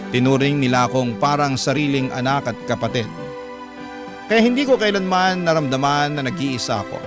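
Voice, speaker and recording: A man's voice: mid-range voice, moderate pace, over music, close to an everyday voice. A man narrating a wistful first-person life story for a radio drama. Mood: reflective.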